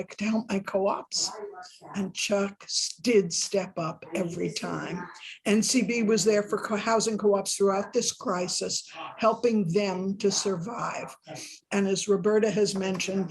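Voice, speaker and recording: A woman giving prepared remarks in a measured, steady way. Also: mid-range voice, low-quality recording. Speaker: tribute speaker.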